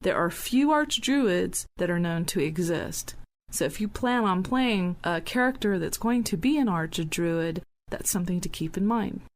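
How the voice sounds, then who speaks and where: A woman's voice: lowish voice, animated, unhurried. A woman hosting a podcast segment, reading scripted information about a fantasy game. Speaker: podcast host.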